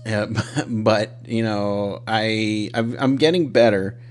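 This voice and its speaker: A man's voice: fairly low pitch, fairly even intonation, takes it slowly. A man in his thirties hosting a podcast, chatting casually with a self-deprecating, rambling way of talking. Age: 30s. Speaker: podcast host. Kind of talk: casual interview chat.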